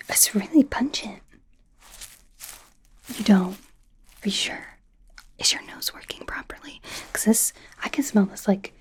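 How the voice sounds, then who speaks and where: A woman playing an eager, friendly, slightly awkward female werewolf doctor in an ASMR medical exam roleplay, speaking in a soft breathy whisper with a hesitant, rambling manner. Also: medium pitch, lightly acted. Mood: teasing, curious.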